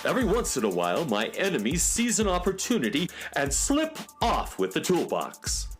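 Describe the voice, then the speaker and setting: A man's voice: fairly high pitch, huge pitch swings, normal speed, faint music behind. A man playing an eccentric handyman of the galaxy, part scientist and part magician, fussy and theatrical, in a children's radio drama. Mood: worried.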